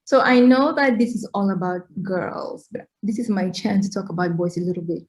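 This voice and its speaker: A woman's voice: mid-range voice, moderate pace, darkish tone. A middle-aged woman from Cameroon, answering interview questions in an earnest, reflective way and often checking in with "right?". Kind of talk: conversational Q&A talk, advice-giving.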